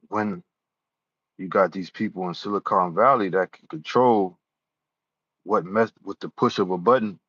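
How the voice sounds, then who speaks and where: Man, rambling vlog talk, low voice, dry dull recording. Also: fairly bright tone, slightly rough, no echo. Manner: rambling, repeats himself, many "you know"s. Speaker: YouTuber. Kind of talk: casual talk to camera. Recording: dull lo-fi sound.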